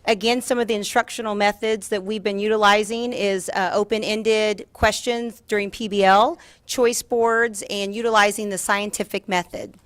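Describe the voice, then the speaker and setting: A woman's voice: mid-range voice, fairly even intonation, moderate pace, few pauses, fairly bright tone, dry recording. A woman giving a formal presentation as a school district administrator at a meeting. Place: school board meeting room.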